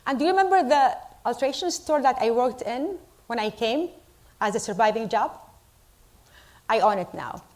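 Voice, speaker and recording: Middle-aged Iraqi-born woman, fairly high voice, earnest deliberate speech to a crowd, English. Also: expressive, darkish tone. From Iraq. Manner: earnest, deliberate, with pauses for emphasis. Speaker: storyteller at a community event. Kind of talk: speech to a live audience.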